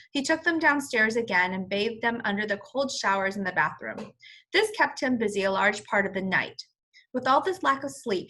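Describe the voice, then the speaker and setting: A woman with a bright, fairly high voice reads a children's story aloud. She sounds like a teacher, expressive and explanatory in her delivery. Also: rough recording. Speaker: first-grade teacher.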